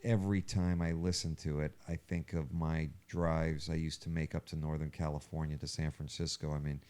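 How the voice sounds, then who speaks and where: Casting: male podcast host, very deep and resonant, earnest and conversational. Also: little pitch movement, moderate pace, a little mellow.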